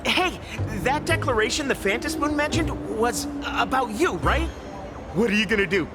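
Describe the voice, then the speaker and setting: A woman voicing a wide-eyed, excitable young bystander in an anime-style game, eager and incredulous. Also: fairly high pitch, normal speed, loud music behind the voice, lightly acted. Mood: eager, curious.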